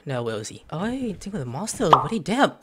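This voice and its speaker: A man's voice: fairly high pitch, sing-song, quick pace, heavy background noise. A young man hosting a gaming video, giving casual gameplay commentary from a small room. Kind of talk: casual gameplay commentary, reading dialogue choices aloud. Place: small room indoors at a computer.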